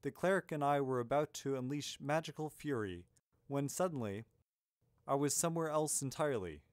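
Male reader as young adventurer wizard, earnest, easily indignant, matter-of-fact, very animated pitch, dry storybook recording. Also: moderate pace, no echo, lightly acted. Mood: blithe storytelling.

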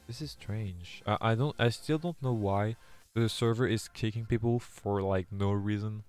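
A man's voice: on the low side, normal speed, a little mellow, soft background music. Young French man streaming a game. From France. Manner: reads chat aloud, many restarts, earnest. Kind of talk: live stream chat while gaming.

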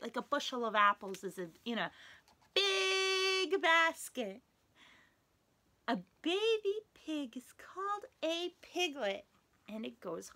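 A young woman with a high, bright voice leads a children's story time. She reads slowly in a sing-song, animated way, swooping up and down and adding playful animal noises. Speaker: children's librarian. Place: small indoor room, wood-panelled.

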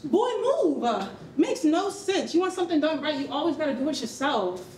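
High, sassy, bossy American mom. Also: female voice, big pitch swings, moderate pace, a little mellow. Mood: scolding. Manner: sassy, scolding, commanding. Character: bossy, exasperated young mother, loving underneath. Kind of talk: staged reading acting. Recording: roomy hall, faint noise.

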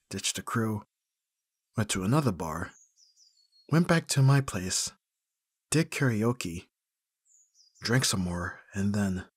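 A man's voice: on the low side, husky, no echo, studio quality, heavily acted. A man playing a flustered, awkward young best friend in an intimate ASMR roleplay, speaking hesitantly with sighs and stammers. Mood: awkward, apologetic. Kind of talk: ASMR roleplay, one-sided intimate dialogue.

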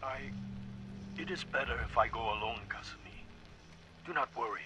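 Very bright-voiced protective father. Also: mid-range voice, soft background music, low-quality recording. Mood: reassuring. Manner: halting, firm, reassuring. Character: protective, worried middle-aged father.

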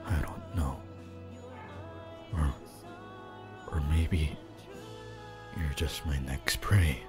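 A man voicing a shy, gentle, apologetic young wolf student in an ASMR roleplay, hesitant, stammering and soft-spoken. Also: very deep voice, drawn-out words, music in the background, exaggerated voice. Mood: earnest, gentle.

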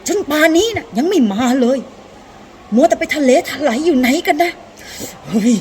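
A woman voicing a wily father goat who shouts and scolds gruffly as a scary monster in a children's folktale. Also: sits high, expressive, normal speed, slight background noise, pitched above the performer's own voice. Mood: angry, menacing. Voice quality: gruff put-on voice.

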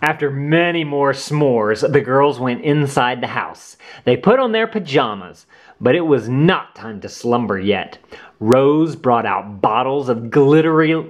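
A man reading a children's storybook aloud as host, in an animated, deliberate way for young listeners. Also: mid-range voice, lo-fi sound. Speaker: children's book reader and channel host.

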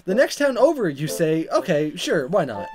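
A woman's voice: lowish voice, sing-song, normal speed, a crowd in the background. A woman hosting a let's play, reading dialogue aloud dramatically and commenting in a playful, exaggerated way. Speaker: gaming video host.

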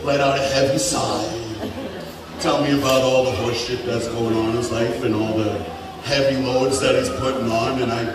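A man's voice: medium pitch, steady tone, normal speed. Joking man toasting over a PA. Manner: joking, swearing, getting choked up. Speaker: wedding toast speaker. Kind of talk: wedding toast with jokes. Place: large open-sided wedding tent. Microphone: handheld mic over speakers, filmed by a far phone. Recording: echoey PA sound from far off, noisy.

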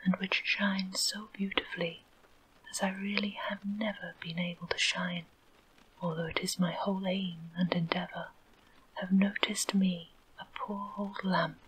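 A wistful old street lamp in a storybook, murmuring its thanks in a slow whisper, as a woman reads it. A faint hiss lies under the voice. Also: mid-range voice, level tone, fairly bright tone, lightly acted, poor audio.

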